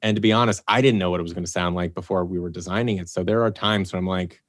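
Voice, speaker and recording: Easygoing low-voiced man, dry close sound. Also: fairly even intonation, racing, no echo. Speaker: producer and voice actor guest. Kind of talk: casual podcast chat.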